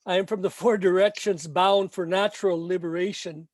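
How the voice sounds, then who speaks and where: High-voiced man reading protest poetry. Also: steady tone, no echo. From Mexico. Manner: slow chanting repetition, emphatic. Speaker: poet.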